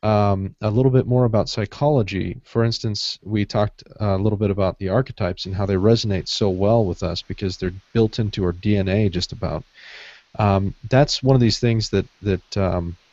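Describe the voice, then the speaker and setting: A man's voice: on the low side, normal speed, darkish tone, no room sound. A man co-hosting a podcast, talking in a casual, conversational way. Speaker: podcast co-host. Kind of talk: casual panel chat. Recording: dull lo-fi online audio.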